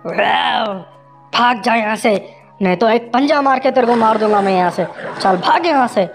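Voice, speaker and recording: A stately male lion from a children's cartoon, greeting a guest with formal courtesy. His voice sits fairly high, as a woman narrator puts him on, and soft music plays beneath. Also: expressive, normal speed. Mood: gruff. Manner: formal, courteous welcoming speech. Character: generous, respectful male lion king.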